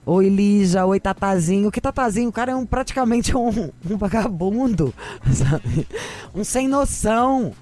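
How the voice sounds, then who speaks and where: A woman hosting a radio advice show, with sassy, gossipy, animated chat. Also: medium pitch, normal speed. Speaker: radio host.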